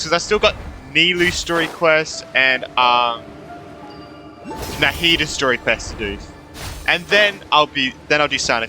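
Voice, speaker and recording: Young male gaming YouTuber, casual and rambling, very bright slightly high voice over game music. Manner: informal, rambling. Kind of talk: casual game playthrough commentary.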